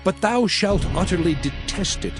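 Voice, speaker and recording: A man's voice: high voice, sing-song, over music. A man playing a solemn, commanding Hebrew prophet, preaching God's law in a grave, declamatory dramatized scripture reading. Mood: stern, commanding. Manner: grave, declamatory, deliberate phrasing.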